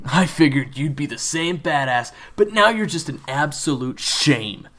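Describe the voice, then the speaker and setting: A man voicing a loud, jeering teenage school bully. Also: on the high side, animated, unhurried, voice pushed up. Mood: mocking. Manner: jeering, loud, laughing scorn.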